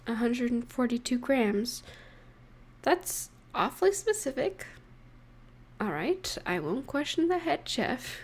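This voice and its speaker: Slow-talking, higher-voiced affectionate girlfriend played by a woman. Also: very animated, husky, heavily acted. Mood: cheerful, playful. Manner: gentle, teasing, unhurried with pauses. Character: affectionate, playful woman baking holiday cookies with partner. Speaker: audio roleplay voice actor. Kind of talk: intimate roleplay audio addressed to the listener.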